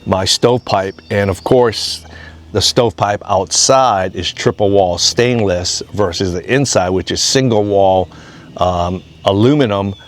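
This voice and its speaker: A man's voice: low-pitched, unhurried. An American man from Hawaii giving a casual, unscripted homesteading update in a plain-spoken, rambling way. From Hawaii, United States. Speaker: off-grid homesteading vlogger.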